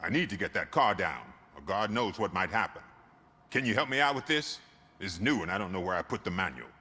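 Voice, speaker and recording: A man's voice: low-pitched, lively intonation, fairly quick, sharp tone, husky, heavily acted. A man playing a friendly, chatty garage owner in a video game, talking in an obliging, folksy way. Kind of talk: video game cutscene acting.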